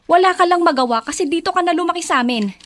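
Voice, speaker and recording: Casting: young Filipino woman, high voice, caring and outspoken, expressive radio drama delivery. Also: fast, dry recording. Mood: sympathetic, indignant.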